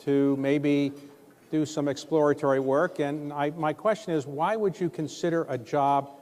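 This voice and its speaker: A man's voice: a little high, fairly even intonation, moderate pace, a little mellow. A man interviewing a guest on stage, pressing with pointed follow-up questions. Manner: probing, asks follow-up questions. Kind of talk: onstage interview. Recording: long hall reverb.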